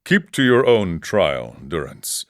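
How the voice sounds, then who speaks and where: An actor playing a serene, eccentric ascetic monk in a video game, speaking in a measured, philosophical way with formal phrasing. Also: male voice, sits low, big pitch swings, heavily acted. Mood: calm, sincere. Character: serene, eccentric ascetic drug-taking monk who relishes suffering. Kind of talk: scripted game character dialogue.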